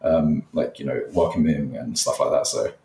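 A man's voice: extremely low pitch, moderate pace, gravelly, mellow, recorded from a distance. A young man from Nepal, a guest on a podcast, chatting casually and hesitantly, full of fillers. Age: young adult. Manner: rambling, many fillers, hesitant. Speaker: guest, content creator. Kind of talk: casual interview chat. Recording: echoey, from off the mic.

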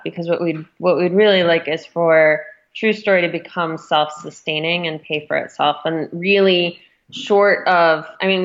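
Low-voiced woman in an interview. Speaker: magazine editor being interviewed. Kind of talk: conversational interview answers. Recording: dull, muffled lo-fi audio.

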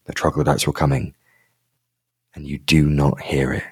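A man with a very low, deep voice tells the scene as game master. His narration is unhurried and dramatic, building each moment slowly. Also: little pitch movement, a little mellow, husky. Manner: slow dramatic descriptive narration.

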